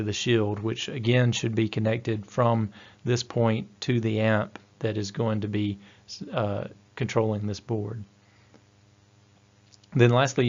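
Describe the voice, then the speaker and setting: Guy doing a methodical training voiceover, flat delivery, kinda dull lo-fi audio. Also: on the low side. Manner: methodical, explanatory. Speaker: technical trainer. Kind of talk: instructional presentation narration.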